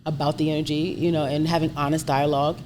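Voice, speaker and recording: A woman's voice: low-pitched, little pitch movement, quick pace. A woman in her thirties from Brooklyn, talking openly and animatedly as an interview guest, laughing often. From Brooklyn, New York. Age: 30s. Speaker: guest, chef and entrepreneur. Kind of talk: candid conversational interview. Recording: noisy background ambience.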